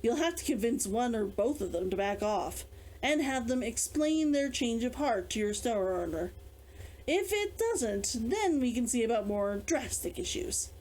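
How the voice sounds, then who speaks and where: A woman reading aloud a storybook man who is measured, matter-of-fact and teasing. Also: a little high, lively intonation, a little mellow, rough recording.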